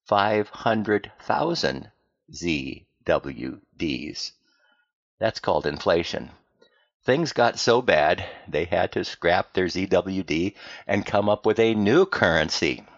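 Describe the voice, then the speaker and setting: An American man delivering a scripted, explanatory podcast monologue in a plain-spoken way, with deliberate emphasis and rhetorical questions. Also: sits low, dry recording, muffled recording. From United States. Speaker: podcast host.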